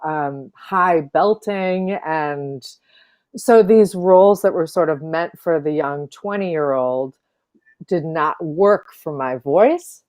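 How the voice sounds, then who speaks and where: A woman from Illinois, an opera singer, chatting as an interview guest over a video call, thoughtful with many fillers and soft laughs. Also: low voice, slow pace. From Illinois, USA. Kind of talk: casual interview chat.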